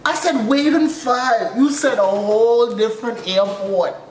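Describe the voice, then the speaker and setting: A man's voice: very high-pitched, a little slow. A young man giving casual reaction commentary and stories in an exasperated, slangy, repetitive way. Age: young adult. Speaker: reaction video host, air traffic controller. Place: small furnished room indoors. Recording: roomy echo, faint background noise.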